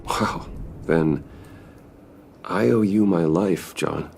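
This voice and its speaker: A man playing a composed, guarded wealthy undercover hero in a video game, speaking in a measured, understated way. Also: low-pitched, vocal fry, a little mellow, a little hiss or hum, heavily acted. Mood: polite, composed.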